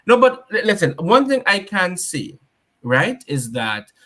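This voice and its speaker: A man hosts a talk show in a lively, questioning way. He reads comments from viewers aloud and reacts to them. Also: sits high, unhurried. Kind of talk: casual talk show discussion.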